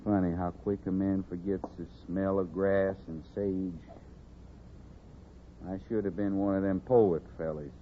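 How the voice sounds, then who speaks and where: An actor playing a condemned outlaw in his thirties in a radio Western, with a slow, brooding, folksy American Western drawl. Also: deep voice, steady tone. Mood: regretful, brooding. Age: 30s. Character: condemned outlaw, thirty-six, bitter, regretful, unschooled. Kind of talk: 1950s radio Western acting. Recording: tinny, hissy 1950s radio recording.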